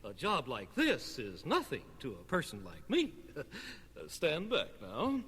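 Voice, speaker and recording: High, boastful boy puppet. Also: wildly swooping pitch, measured pace, a bit bright, slightly rough, long reverb tail, full character voice. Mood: cocky, straining. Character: boastful, excitable young male puppet, eager to show off. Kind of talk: children's puppet show record acting.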